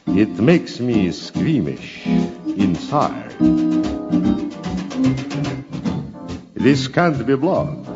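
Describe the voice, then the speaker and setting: A man's voice: lowish voice, full character voice. A man playing a wryly morbid, pompous vampire lecturer and crooner on a theatrical novelty record. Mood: mournful crooning. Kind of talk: theatrical novelty monologue and song. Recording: reverberant lo-fi record under loud music. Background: loud organ and guitar music.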